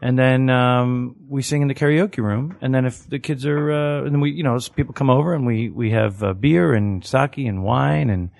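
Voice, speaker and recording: A man chatting casually on a podcast, rambling and halting with frequent restarts and fillers. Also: mid-range voice, fairly even intonation, vocal fry, darkish tone, no echo. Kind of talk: casual chat.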